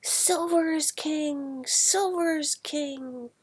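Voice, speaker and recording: A woman voicing a jubilant, worshipful political crowd in a storybook, with loud, drawn-out, declaiming cries. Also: high-pitched, voice pushed up. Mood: triumphant. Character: jubilant, worshipful mob hailing its political saviour.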